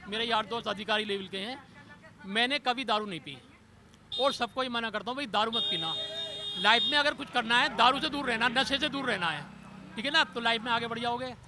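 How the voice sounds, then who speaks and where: A man's voice: extremely high pitch, a little fast. A man giving his opinion in the street, casual but emphatic and repetitive. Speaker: member of the public. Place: outdoors on a street. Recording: faint outdoor street noise.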